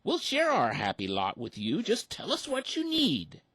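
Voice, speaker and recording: A man voicing a kindly, welcoming flock of ducks in a high sing-song falsetto, in a rhymed storybook recitation. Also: huge pitch swings, normal speed, a bit bright, breathy edge, no echo, full character voice.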